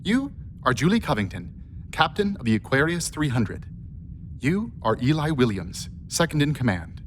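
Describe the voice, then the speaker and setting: A man's voice: mid-range voice, sing-song, moderate pace, a little hiss or hum, close to an everyday voice. A man playing an earnest, affectionate humanoid helper robot in a radio play, speaking in a precise, literal way. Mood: earnest, helpful.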